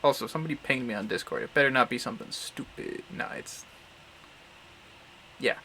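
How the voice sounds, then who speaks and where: A man's voice: medium pitch, brisk, bright, forceful tone, slight background noise. A man giving casual, rambling commentary as he hosts a visual novel livestream, often muttering to himself. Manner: mutters and hums filler syllables to himself.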